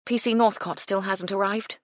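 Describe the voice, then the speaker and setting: A woman voicing a composed, self-assured English lady of the house in a storybook, measured and commanding. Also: mid-range voice, fairly even intonation, dry recording, studio quality, voice pushed up, lightly acted. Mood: composed, musing.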